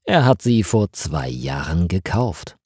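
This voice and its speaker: A man narrating a scripted story for language learners in measured, deliberate phrases. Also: sits low, sing-song, darkish tone, slightly rough, no room sound, clean studio sound.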